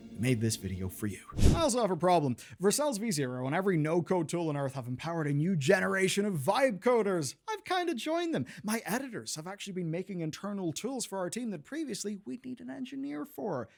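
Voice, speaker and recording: A man's voice: sits high, very animated, a little fast, creaky, hardly any pauses, a little mellow. A man hosting a gaming video, reading scripted narration in a conversational, slangy way with casual asides. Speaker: host of a gaming lore video channel. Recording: music playing behind the voice. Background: music.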